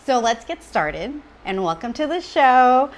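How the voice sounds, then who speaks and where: A woman hosting an interview show, giving an upbeat introduction and encouraging her guest with quick responses. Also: sits high, very animated, a little hiss or hum. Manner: bubbly, encouraging, quick back-channel responses.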